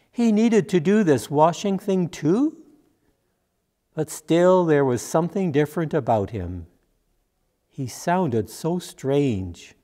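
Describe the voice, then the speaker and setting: An older man playing a troubled disciple who is devoted to his master. His slow, reflective voice sits fairly high and swings widely in pitch as he questions in a church monologue. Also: a little mellow. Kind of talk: dramatic monologue in a church service. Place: church sanctuary, at a pulpit. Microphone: gooseneck pulpit microphone.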